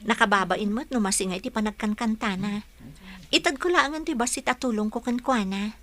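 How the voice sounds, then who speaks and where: A woman playing a frank, expressive young single woman in an audio drama. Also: a little high, fairly quick, lightly acted. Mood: wistful. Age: young adult. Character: young single woman, hospital worker, still heartbroken, frank. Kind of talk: Ilocano audio drama acting.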